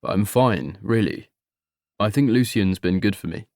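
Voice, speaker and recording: A man reading an audiobook and voicing a meek, apologetic young man, nearly thirty, who speaks quietly and with a low voice. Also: a little slow, creaky, no room sound, clean studio sound. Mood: subdued.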